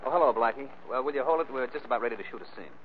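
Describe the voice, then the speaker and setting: An actor playing a bossy, brisk movie director in the style of a 1940s radio drama. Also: male voice, mid-range voice, close to an everyday voice. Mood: brisk, commanding. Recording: muffled, faintly noisy old radio recording.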